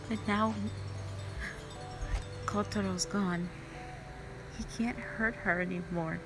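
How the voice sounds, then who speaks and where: A woman voicing a gentle, protective young woman in an audio roleplay drama, speaking in an earnest, consoling way. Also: medium pitch, lightly acted. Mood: grieving, troubled. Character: gentle, protective young woman comforting her hurting friend.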